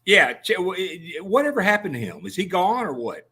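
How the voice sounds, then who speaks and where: A man hosting a radio show, talking in a folksy, joking way with halting restarts. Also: sits high, sing-song, sharp tone, slightly rough. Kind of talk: casual on-air interview.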